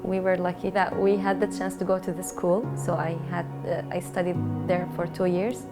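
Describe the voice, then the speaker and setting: A woman's voice: fairly low pitch, flat delivery, normal speed. Young woman from Syria over background music. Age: 20s. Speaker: documentary interviewee. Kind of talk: personal interview, recollection.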